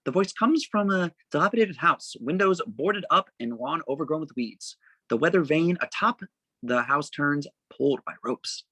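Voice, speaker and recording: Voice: mid-range voice, lively intonation, moderate pace, a bit bright, husky, dry recording, rough recording. A narrator reading screenplay stage directions aloud for a table read in a plain, descriptive manner.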